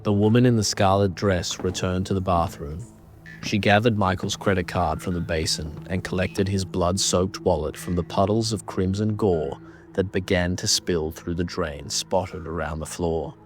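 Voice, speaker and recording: A man narrating a horror audio drama in a grave, deliberate, dramatic way, reading ornate scripted prose. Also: sits low, fairly even intonation, faint music behind.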